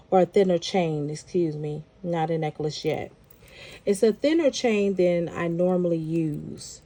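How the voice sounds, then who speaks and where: A woman's voice: fairly low pitch, darkish tone. A woman with a nasal, congested voice from a head cold, chatting casually as she walks viewers through making a necklace. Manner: chatty, thinking aloud while working. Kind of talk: casual craft tutorial talk.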